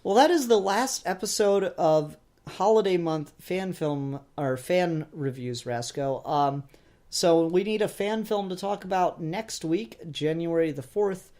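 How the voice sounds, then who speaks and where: A man's voice: high voice, unhurried, darkish tone. A man co-hosting a podcast, talking casually and informally as he reviews. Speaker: podcast co-host reviewing fan films. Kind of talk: casual chat, review discussion.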